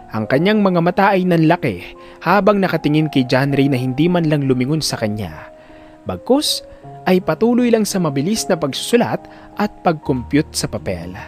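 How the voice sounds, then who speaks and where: A man's voice: a little high, a little mellow. A man narrating a scripted audio drama in a dramatic storytelling style.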